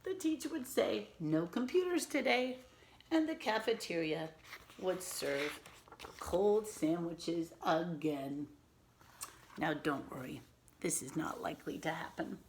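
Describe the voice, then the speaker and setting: A woman reading a picture book aloud to children as a teacher, expressively and patiently, pausing to explain and ask questions. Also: on the low side, darkish tone, slight background noise. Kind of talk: animated read-aloud with simple explanatory asides.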